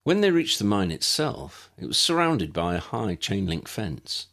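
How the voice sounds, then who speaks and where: A man's voice: on the low side, sing-song, a little slow, fairly bright tone. A man reading a story aloud as a scripted audiobook narrator. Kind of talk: scripted fiction narration with character voices.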